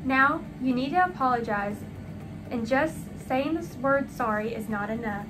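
A woman's voice: high-pitched, lively intonation, unhurried, over music, rough recording. A woman voicing a stern male school principal who admonishes and instructs in a children's storybook.

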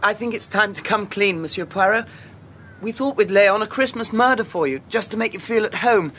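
An earnest young Englishman, high-pitched and bright-toned, with an urgent, breathless delivery. The radio play carries faint noise behind him. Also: a little fast. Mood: sheepish, apologetic. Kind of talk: radio drama acting.

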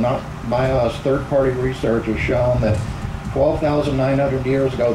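A man on a discussion panel, explaining science to an audience in a measured way with pauses mid-sentence. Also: medium pitch. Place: large open-sided tent outdoors. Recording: echoey, noisy outdoor event tent.

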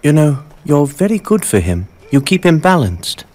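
Earnest reserved teen boy, cartoon game acting, gentle, husky edge, lively pitch. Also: normal speed. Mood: soft, sincere. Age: young adult. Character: earnest, reserved teenage boy, loyal best friend. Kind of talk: animated cutscene acting.

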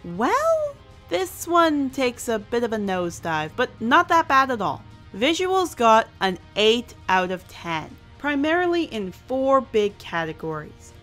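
Higher-pitched woman reviewer, emphatic delivery. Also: huge pitch swings, measured pace, a bit bright. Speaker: reviewer, show host. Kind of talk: scripted review commentary over animation. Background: music.

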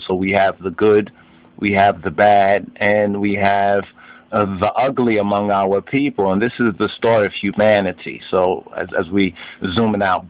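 Guy doing a homemade religious history lecture, slow n halting, teachy, kinda muffled lo-fi sound, dry no echo. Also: lowish voice. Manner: didactic, halting delivery, repeats and glosses terms in several languages. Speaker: video narrator and lay religious teacher. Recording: dull lo-fi home recording.

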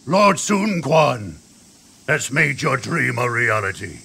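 An actor playing a loyal warrior lord in a war video game, speaking formally and earnestly with dramatic weight. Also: male voice, mid-range voice, sing-song, slow pace, gravelly, bright, forceful tone, slightly rough, full character voice. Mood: reverent.

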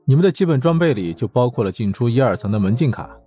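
A man voicing a brusque, world-weary department director in an audiobook, husky and curt, with a dismissive grumble. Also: mid-range voice, expressive, fast, mellow, faint music behind, pitched above the performer's own voice, close to an everyday voice. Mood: matter-of-fact.

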